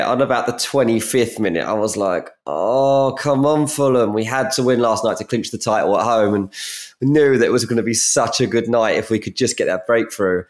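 A man co-hosting a football podcast, his voice raspy from shouting, excitable and laughing often. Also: mid-range voice. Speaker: podcast co-host. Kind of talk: casual football podcast chat.

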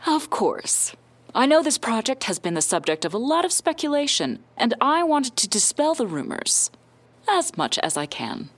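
A woman playing a powerful middle-aged scientist and founder in an audio drama, giving a measured, firm, guarded interview. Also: fairly high pitch, very animated. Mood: composed, assertive.

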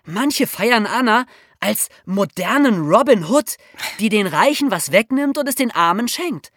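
A woman with a German accent tells a true-crime story as a podcast host, her pitch swinging widely as she goes. She keeps it casual, with wry asides and quick laughs. The sound is dry and close to the mic. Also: animated, a bit bright, dry recording.

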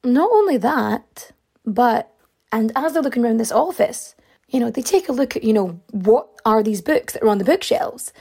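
A woman host telling a story casually, full of fillers, with swings in pitch and a voice she says is going. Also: very animated, normal speed, gravelly, a little mellow, breathy edge. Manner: chatty, many fillers, big pitch swings. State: losing her voice.

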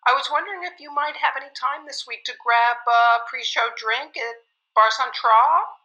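Bright-voiced woman leaving a voicemail. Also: on the high side, dull audio. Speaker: caller leaving a message. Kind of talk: friendly scripted voicemail.

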